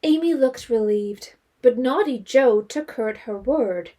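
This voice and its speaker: An actress narrating a period novel in an audio drama as a wry, composed storyteller. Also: female voice, fairly high pitch, lively intonation, measured pace, dark tone.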